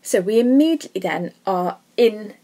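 A woman's voice: mid-range voice, animated, normal speed, warm tone. A woman teaching English literature in an informal, explanatory lesson voice-over.

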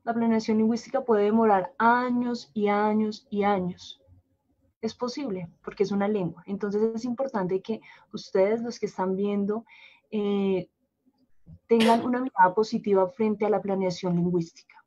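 A woman explaining language planning on a live panel, measured and explanatory, sometimes repeating words. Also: medium pitch, fairly even intonation, darkish tone. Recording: dull lo-fi livestream audio.

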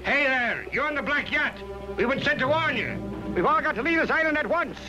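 An actor playing an excitable, folksy crewman sidekick in an old adventure cartoon, full of exclamations. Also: very high-pitched, brisk. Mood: urgent. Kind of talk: 1960s adventure cartoon acting. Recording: dull old cartoon soundtrack, loud music.

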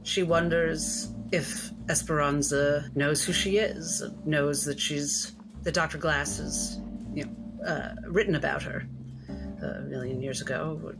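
A woman's voice: low voice, fairly even intonation, unhurried, poor audio. A woman playing a tabletop game, describing her character's actions in a musing way. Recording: music under the voice.

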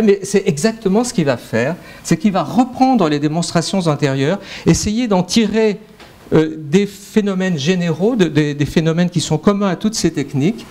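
A middle-aged man with a French accent delivers a conference talk on mathematics in a lecture hall. His voice sits slightly higher than most men's. He stops and restarts his sentences often, filling gaps with hesitation sounds, and speaks into a handheld microphone. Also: darkish tone. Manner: hesitant, many fillers and restarts. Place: lecture hall with projector screen.

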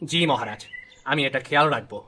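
Man as a bright-voiced, loyal royal minister. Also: mid-range voice, normal speed, a little hiss or hum, natural voice. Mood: obedient. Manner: formal, dutiful. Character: loyal, deferential royal minister serving the king.

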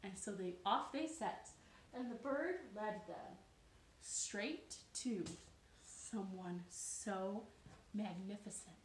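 A woman's voice: medium pitch, slow, slight background noise. A young adult woman, a children's storyteller, giving a storytime for young children. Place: living room, indoors. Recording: roomy living-room sound.

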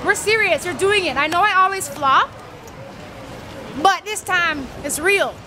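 A woman's voice: very high-pitched, a little slow. A young Canadian woman in her twenties chatting playfully to a handheld camera in a busy public place. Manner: bubbly and playful, laughing often. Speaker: vlogger. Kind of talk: casual vlog chat. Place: busy city street and crowded store. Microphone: handheld camera at arm's length. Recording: loud crowd and street noise.

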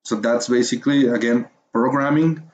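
A man's voice: medium pitch, steady tone. A man with a Mexican Spanish accent narrates a beginner's screen tutorial in a mid-range voice, stopping often with fillers as he searches for English words. His tone stays encouraging and patient. The recording sounds a little muffled, with a faint hiss underneath. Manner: hesitant, many fillers and pauses while finding words. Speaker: course instructor.

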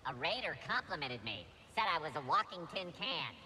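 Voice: mid-range voice, very animated, fairly quick, sharp tone, a little hiss or hum. An actor voicing a hapless, insecure battle droid in a video game, nasal and whiny through a robot filter. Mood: petulant, chatty. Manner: whiny, petulant. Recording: faint game ambience behind.

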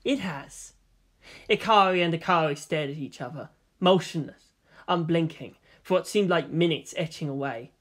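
A narrator reading fiction aloud as a dramatic scripted narration, with a medium-pitched voice. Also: moderate pace. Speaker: story narrator.

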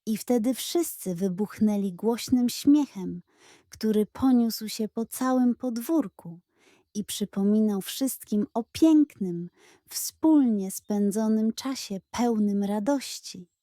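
A woman narrating a children's bedtime story in an expressive, scripted storytelling style. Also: on the high side, takes it slowly, no echo, clean studio sound.

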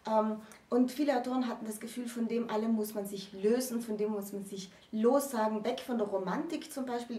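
A woman's voice: medium pitch, dark tone. Woman explaining in a roomy, distant recording. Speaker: presenter of a literature explainer series. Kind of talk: explanatory literary discussion. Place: small indoor room with bookshelves.

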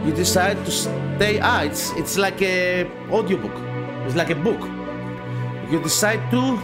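Male gaming host, Greek accent, higher-pitched voice, halting read-aloud with offhand comments, music underneath. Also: animated. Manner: halting reading, often repeating phrases, swearing in asides. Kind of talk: casual let's play, reading game text aloud with asides. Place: small dim home gaming room. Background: game music.

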